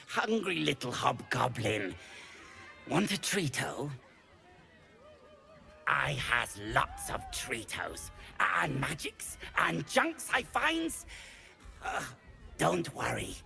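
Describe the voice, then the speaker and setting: An actor voicing a simple, sly, eager creature peddler in a fantasy game, gravelly, with halting childlike broken speech. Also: male voice, on the high side, bright, forceful tone, heavily acted. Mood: eager hawking. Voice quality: creaky, gravelly. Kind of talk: cartoonish fantasy game voice acting.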